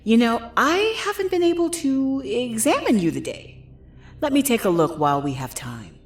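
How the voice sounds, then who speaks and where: A woman's voice: fairly high pitch, very animated, normal speed, breathy edge, full character voice. A woman playing a guarded, scheming nurse who narrates her revenge tale in an intimate, suspenseful audio drama style. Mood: feigned concern. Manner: intimate, suspenseful, acted delivery. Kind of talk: dramatic first-person audio fiction narration. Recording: dramatic music behind the voice.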